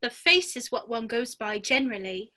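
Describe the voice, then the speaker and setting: A woman's voice: on the high side, animated, normal speed, pushed and bright. A woman playing a polite, earnest, curious little girl in a dramatic reading of a children's classic. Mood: polite, puzzled.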